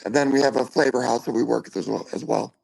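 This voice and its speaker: A man's voice: medium pitch, steady tone, fast, vocal fry, darkish tone, slightly rough, rough recording. A man answering interview questions briefly and plainly. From Finland. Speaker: guest, drinks company founder. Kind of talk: casual interview talk.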